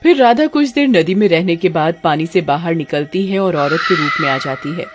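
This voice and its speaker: A woman's voice: on the low side, expressive, moderate pace, music in the background. A woman narrator telling a scripted children's cartoon story.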